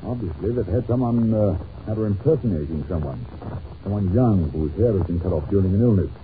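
An actor playing an authoritative, analytical detective in an old-time radio drama, incisive and commanding in manner. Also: male voice, low voice, expressive. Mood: grave, analytical. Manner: incisive, commanding, deliberate in deduction. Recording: muffled noisy old radio recording.

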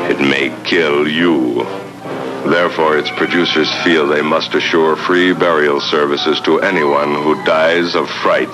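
A man's voice: very deep voice, poor audio. An actor playing an ominous, melodramatic male announcer narrating a 1950s horror film trailer. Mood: grave warning. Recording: vintage film trailer with loud music. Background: loud dramatic film music.

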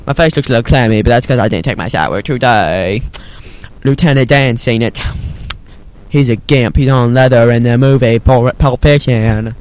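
A man voicing a slow-witted, childlike man, rambling haltingly in a comedy podcast monologue. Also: medium pitch, clear, resonant tone, close to an everyday voice. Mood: matter-of-fact. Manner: rambling, halting, simple-minded.

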